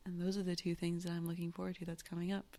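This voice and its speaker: Easygoing woman, low for her sex. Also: monotone, warm tone. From San Francisco Bay Area. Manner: easygoing, laughs often, drawn-out "like" pauses. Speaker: guest artist. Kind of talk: casual interview chat.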